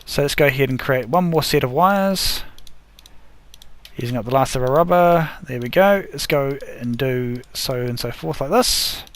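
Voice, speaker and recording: A man's voice: medium pitch, moderate pace. A man casually talking through his game build step by step, often correcting himself, his voice a little worn. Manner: rambling, self-correcting, talks through each step. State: losing his voice. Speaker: gaming video host. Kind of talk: casual gameplay commentary.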